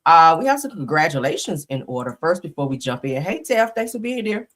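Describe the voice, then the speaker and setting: A woman's voice: low-pitched, sing-song, a little fast, fairly bright tone. Chatty woman host with a slight cold. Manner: chatty, greets viewers often, says y'all. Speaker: live stream host. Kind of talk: casual live stream commentary.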